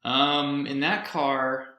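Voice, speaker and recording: A man co-hosting a podcast, telling stories casually in an animated, jokey, sometimes profane way. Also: mid-range voice, very slow, a crowd in the background. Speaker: podcast co-host. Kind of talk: casual conversational storytelling. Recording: roomy echo.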